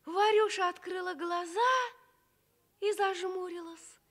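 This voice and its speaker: A woman narrating a children's tale for radio with deliberate, expressive phrasing. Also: very high voice. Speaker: storyteller.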